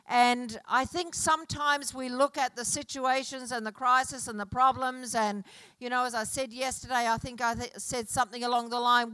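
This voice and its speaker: A woman preaching a sermon to a congregation, slow and emphatic, building her points through repeated phrases. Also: a little high, a bit bright, dry recording, rough recording. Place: church hall.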